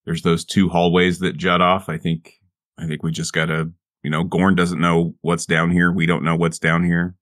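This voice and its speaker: A man's voice: deep voice, monotone, moderate pace, fairly bright tone, no echo, clean studio sound. A man playing a tabletop game, talking casually as he plans with the group. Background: background music.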